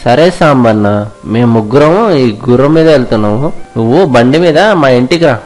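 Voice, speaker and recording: Man playing a mid-pitched kind young fisherman. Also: brisk, a little mellow, soft background music, close to an everyday voice. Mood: caring. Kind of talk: dramatised moral story.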